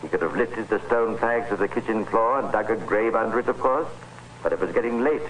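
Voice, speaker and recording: Male actor as grave narrator, lowish pitch, dry and ominous, scripted crime story, slightly noisy old radio recording. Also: fairly quick, close to an everyday voice. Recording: hissy vintage radio recording.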